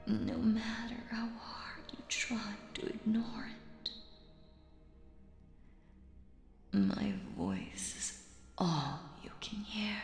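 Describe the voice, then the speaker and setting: A woman playing a sinister, possessive stage magician in a roleplay audio, speaking theatrically and coaxingly in a slow, silky manner. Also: mid-range voice, creaky, roomy sound, exaggerated voice. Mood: hypnotic, hushed. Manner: theatrical, slow, silky, addresses listener as dear. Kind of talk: scripted roleplay audio acting.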